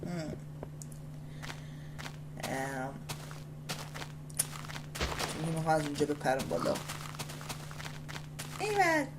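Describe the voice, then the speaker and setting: A woman with an Iranian Persian accent giving casual, rambling gameplay commentary as a video host, often repeating short phrases excitedly. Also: sits low, long pauses. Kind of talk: casual gameplay commentary, talking to self and viewers. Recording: loud background noise and game ambience.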